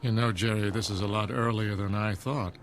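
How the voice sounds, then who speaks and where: An actor playing a disapproving, suspicious member of a secret group, measured and stern, in an audio drama. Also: male voice, on the low side, steady tone, faint music behind, natural voice. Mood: cold disapproval.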